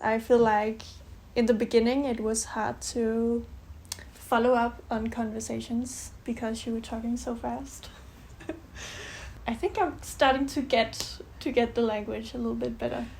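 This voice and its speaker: A woman's voice: a little high, level tone, measured pace, gravelly, darkish tone, a little hiss or hum, low-quality recording. A twenty-eight-year-old Danish woman with a Danish accent, speaking English as a second language in a casual chat to camera, hesitant with ums and uhs. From Denmark. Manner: hesitant, fillers like um and uh, laughs between phrases. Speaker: vlog roommate.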